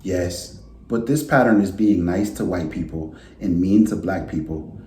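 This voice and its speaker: A man's voice: deep voice, a little slow, mellow. A man voicing a patient storybook mother who explains things in a reassuring way. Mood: patient, explaining. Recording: roomy, echoey indoor sound.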